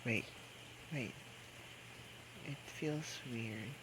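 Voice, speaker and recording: Man playing a slow, drunk, clingy boyfriend, noisy recording. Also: medium pitch. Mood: pleading, whiny. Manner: slurred, drawn-out, coaxing, halting drunken talk. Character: drunk, clingy, playful young boyfriend begging for kisses. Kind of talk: improvised intimate ASMR roleplay. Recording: noisy homemade microphone recording.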